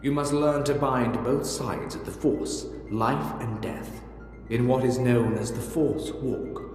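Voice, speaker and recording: An actor playing an ancient Sith spirit in a video game, a solemn keeper of dark secrets who speaks formally and ominously. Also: male voice, mid-range voice, takes it slowly, natural voice. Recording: cavernous echo with long reverb, music behind.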